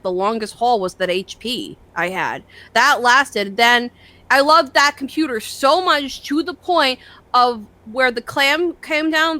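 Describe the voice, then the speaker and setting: A young woman chatting casually, telling stories in a rambling, sweary, excitable way and acting out the dialogue. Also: a little high. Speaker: online show host. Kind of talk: casual chat, animated storytelling.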